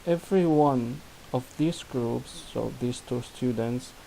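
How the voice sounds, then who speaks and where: A young man from Syria with an Arabic accent, a non-native English speaker, demonstrating his student project in halting speech full of restarts and fillers. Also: mid-range voice, creaky, dark tone, slight background noise, low-quality recording. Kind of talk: screen-recorded software demo.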